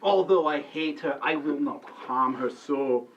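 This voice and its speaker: A woman's voice: medium pitch, gravelly, muffled recording, a little hiss or hum. Woman as scornful young man, wobbly Scottish accent. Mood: cold, scornful. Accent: wobbly put-on Scottish. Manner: loud, drawn-out, melodramatic. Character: scornful, hot-headed young male lover in Shakespeare comedy.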